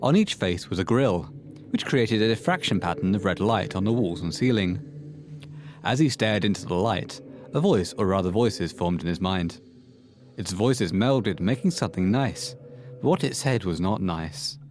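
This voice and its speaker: A man narrating a scripted horror story for an audio play in a measured, literary storytelling manner. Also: lowish voice, animated, a little mellow, natural voice.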